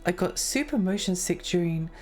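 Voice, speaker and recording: A woman's voice: on the low side, lively intonation, moderate pace, darkish tone. A young woman streaming and chatting with her viewers in a rambling, giggly way, her voice a little worn. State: losing her voice. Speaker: livestreamer reading chat. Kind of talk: casual chat with viewers.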